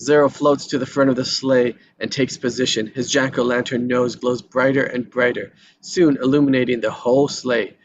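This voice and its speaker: A man's voice: mid-range voice, fairly even intonation, moderate pace, few pauses. A man narrating a screenplay's action lines at a table read, sometimes tripping over and repeating words. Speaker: reader of stage directions. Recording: dull lo-fi sound with background noise.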